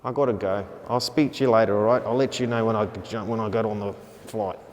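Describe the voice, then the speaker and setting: A man's voice: lowish voice, fairly even intonation, quick pace, a little mellow, lightly acted. An actor playing a brusque, impatient male filmmaker who swears at his crew. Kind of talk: mockumentary film acting. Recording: echoey hall with faint noise.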